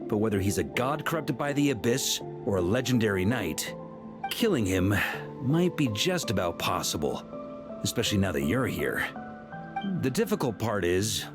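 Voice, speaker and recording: An actor playing a seasoned, composed male knight leader in a video game, talking strategy with dry confidence. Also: fairly low pitch.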